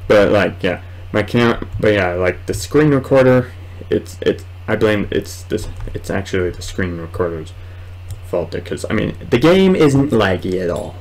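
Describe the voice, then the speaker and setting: A man's voice: lowish voice, animated, measured pace, darkish tone. A man in his twenties doing casual let's play commentary, rambling and muttering hesitantly to himself in a room at home. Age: 20s. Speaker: gamer, video host. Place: small room at home, indoors. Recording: clearly noisy home recording.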